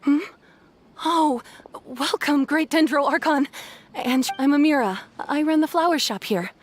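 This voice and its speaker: A woman's voice: high-pitched, a little slow, slight background noise. A woman playing a friendly, polite young wife who keeps a flower shop in a video game. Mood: welcoming. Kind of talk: scripted video game voice acting.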